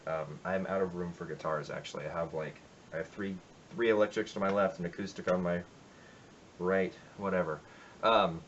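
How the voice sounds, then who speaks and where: Low-voiced male musician, rambling and reflective, home room recording slightly dull with faint hiss. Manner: rambling, reflective, many fillers. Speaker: musician covering a song. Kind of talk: casual spoken intro to a cover.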